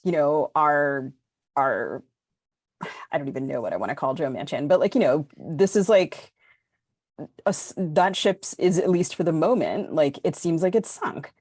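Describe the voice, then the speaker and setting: A woman's voice: deep voice, expressive, moderate pace, a little mellow, no room sound, clean studio sound. An American woman co-hosting a podcast, talking informally and discursively as she thinks aloud. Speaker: podcast co-host. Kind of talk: casual panel discussion.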